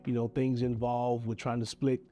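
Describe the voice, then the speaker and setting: A man with a drawl and a stuffy, nasal voice from a sinus infection talks earnestly and steadily, pleading with his listeners. His tone is mellow and dark at an ordinary pitch. Faint music plays softly underneath. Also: flat delivery, normal speed. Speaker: video creator giving advice. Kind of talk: earnest direct-to-camera message.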